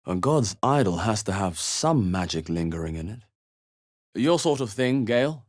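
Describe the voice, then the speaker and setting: A man playing a wary, thoughtful, dry-humoured adventurer in a fantasy video game, speaking in short measured remarks with a husky voice. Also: lowish voice, sing-song. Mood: wary, observant.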